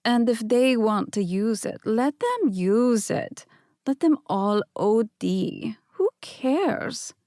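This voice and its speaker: A woman's voice: fairly high pitch, big pitch swings, slow, warm tone, no echo, studio quality, voice pushed up, exaggerated voice. A woman voicing a mischievous, scornful young woman with a teasing, dismissive manner.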